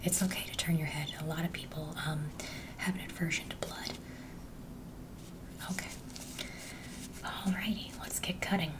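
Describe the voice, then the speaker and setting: Female ASMR doctor, hushed whisper, unhurried and reassuring, slightly distant from the mic. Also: deep voice, fairly even intonation. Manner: gentle, reassuring, unhurried, speaking directly to a patient. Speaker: ASMR performer playing a doctor in a roleplay. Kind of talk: ASMR medical roleplay, soft whispering.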